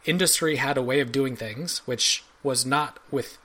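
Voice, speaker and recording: A man's voice: medium pitch, moderate pace, pushed and bright. Thoughtful, rambling male guest. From Belgium. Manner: thoughtful, rambling, many fillers and restarts. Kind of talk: casual interview chat.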